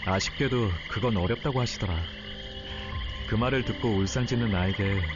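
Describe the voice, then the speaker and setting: Man voicing a gentle, earnest young teacher. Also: lowish voice, normal speed, lo-fi sound, close to an everyday voice. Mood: gentle reassurance. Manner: kind, patient, sincere. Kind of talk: game story voice acting. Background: loud music.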